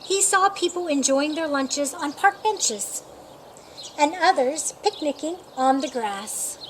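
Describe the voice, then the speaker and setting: A woman reading a picture book aloud as children's storytime, with deliberate, expressive phrasing for young listeners. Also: high-pitched, a little hiss or hum.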